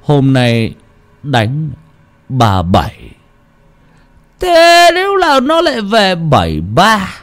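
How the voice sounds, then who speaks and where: A man's voice: on the high side, huge pitch swings, a bit bright, voice pushed up, full character voice. A man doing a slurring drunk village man. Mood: drunken. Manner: slurred, slow, mumbling.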